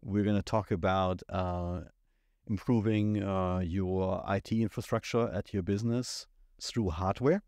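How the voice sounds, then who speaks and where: A man in his forties with a German accent, chatting casually on a podcast in a small studio, relaxed and rambling with plenty of fillers. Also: deep voice, measured pace, dry recording. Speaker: IT company owner, podcast co-host. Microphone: studio mic on boom at the mouth.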